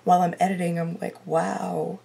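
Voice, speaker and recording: Young woman, low raspy gravelly voice, casual self-deprecating bedroom vlogger, laptop mic. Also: little pitch movement, normal speed, warm tone. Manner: chatty, self-deprecating, swears under her breath. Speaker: TV reaction vlogger. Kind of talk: casual chat to camera. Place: her bedroom.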